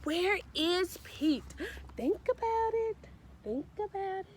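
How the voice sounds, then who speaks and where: A woman's voice: very high voice, expressive, slow, slight background noise. A woman reading a children's storybook aloud in a sing-song, encouraging way, with emphatic repetition and questions to young listeners. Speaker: read-aloud storyteller, librarian.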